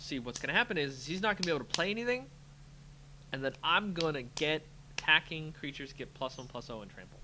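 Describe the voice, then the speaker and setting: Animated man thinking aloud over a game. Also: a little high, quick pace, background noise. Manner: muttering to himself, self-talking, playful sing-song filler. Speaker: host of a card game channel, playing. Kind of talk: casual live game commentary, thinking aloud.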